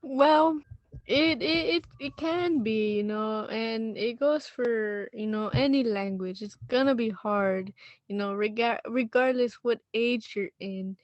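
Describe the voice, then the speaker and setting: A woman's voice: on the high side, takes it slowly, dry recording, lo-fi sound. A woman whose first language is Spanish, a laid-back podcast guest who repeats words as she gets started. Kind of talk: casual podcast chat.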